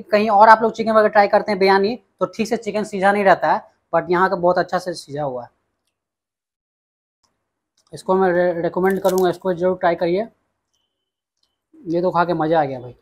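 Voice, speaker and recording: A young man from Bihar hosting a casual food vlog, talking straight to viewers as he tastes dishes and recommends them. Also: high voice. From Bihar, India. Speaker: food vlogger. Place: small indoor restaurant dining room.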